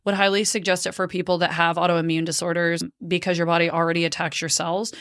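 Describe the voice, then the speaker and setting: A woman's voice: lowish voice, little pitch movement, quick pace, a bit bright, clean tone, dry recording, clean studio sound. A woman in her late thirties telling her story on a podcast in a blunt, profane way, laughing often. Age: 30s. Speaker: podcast host. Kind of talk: casual storytelling chat.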